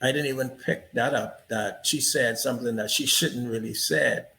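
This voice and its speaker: A man's voice: medium pitch, normal speed, vocal fry, pushed and bright, a little hiss or hum. A man hosting an interview, talking reflectively and telling stories with frequent "you know" asides. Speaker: interview host. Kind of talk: casual interview conversation.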